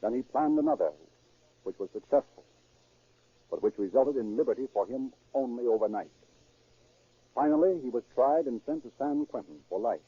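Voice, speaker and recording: Male 1930s radio announcer, American, formal, measured, slightly husky, very muffled narrow old recording. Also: mid-range voice, warm tone, no echo.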